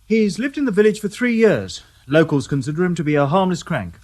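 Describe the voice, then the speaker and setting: An actor playing a respectful police sergeant in a radio drama, reporting matter-of-factly. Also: male voice, sits high, big pitch swings, a little fast, a little mellow.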